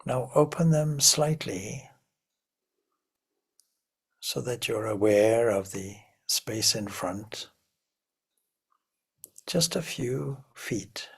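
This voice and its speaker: Slow, husky man guiding a meditation. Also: medium pitch, expressive. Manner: measured, gently instructive with long pauses. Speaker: meditation teacher. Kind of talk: guided meditation.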